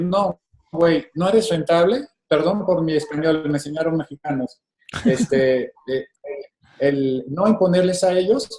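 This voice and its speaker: A man's voice: a little high, darkish tone. Hesitant man on a video call, echoey. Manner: hesitant, many fillers and restarts. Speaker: company CEO, webinar guest. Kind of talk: casual conversational talk.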